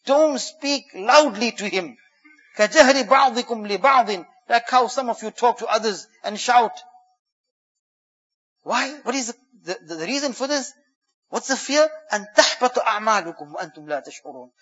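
A man preaching a sermon, telling stories, who swings between loud emphatic phrases and hushed quiet ones and quickly chants Arabic verses. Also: extremely high pitch, animated, muffled recording. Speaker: Islamic preacher. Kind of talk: sermon storytelling.

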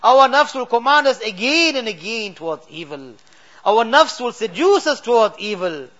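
Sex: man. Style: fervent pleading prayer, high bright voice, dull recording. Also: big pitch swings, normal speed. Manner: fervent, pleading, with constant refrains of the divine name. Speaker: imam leading supplication. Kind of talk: impassioned late-night prayer supplication.

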